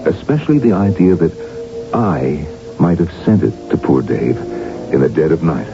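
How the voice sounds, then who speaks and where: An actor in an early 1980s radio drama, playing a tired, troubled doctor. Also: male voice, a bass voice, very animated, normal speed, exaggerated voice. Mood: brooding confession. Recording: muffled old radio broadcast, faint music.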